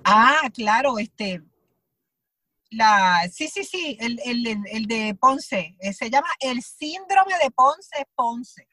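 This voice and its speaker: A Puerto Rican woman with a high voice tells her stories with animation. She slips into little acted quotes of her own thoughts. The sound is close and dry, with no room around it. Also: animated, slow pace, pushed and bright, dry recording. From Puerto Rico. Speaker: actress, guest. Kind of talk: conversational interview.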